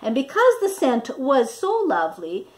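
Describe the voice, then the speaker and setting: A middle-aged woman narrating a children's storybook expressively, with dramatic, deliberate emphasis on words. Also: high voice, huge pitch swings. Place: small indoor room with wall displays.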